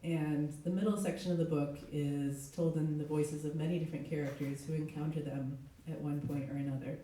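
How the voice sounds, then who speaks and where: A middle-aged woman, a literary translator, reading aloud at a live event, her voice hoarse with a cold. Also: low voice, steady tone, fairly quick, warm tone, slight background noise. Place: echoey indoor event space. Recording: very echoey room, distant mic.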